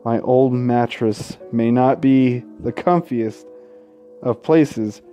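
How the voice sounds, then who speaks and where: A man's voice: mid-range voice, slow pace, dark tone. A man reading a visual novel aloud as a gaming host, tripping over words, correcting himself and dropping casual asides and swearing. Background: faint game music.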